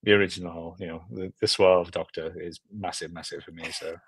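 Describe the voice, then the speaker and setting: A young South Welsh man with a fairly low voice in casual conversation. He is keen and emphatic, doubling up his words and phrases. The sound is dry, with very little room on it. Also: fairly even intonation, a little fast, breathy edge, no echo. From South Wales. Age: 20s or 30s. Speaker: film director, interview guest. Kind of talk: casual chat. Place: small room at home, video call. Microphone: video call, earbuds.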